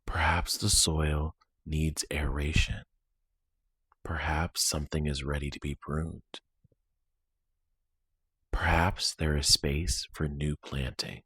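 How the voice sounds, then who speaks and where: A man with an extremely deep, husky voice leads a guided meditation. He speaks in a soothing, deliberate way, leaving long pauses between short phrases. The recording is dry and close, with no room sound. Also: flat delivery, fairly bright tone, no echo.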